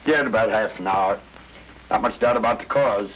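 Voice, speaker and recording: Businesslike male police doctor, acted, lower voice, old radio mystery, very narrow tinny sound. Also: fairly quick, a bit bright, close to an everyday voice. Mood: matter-of-fact. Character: matter-of-fact police doctor. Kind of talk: old-time radio drama acting.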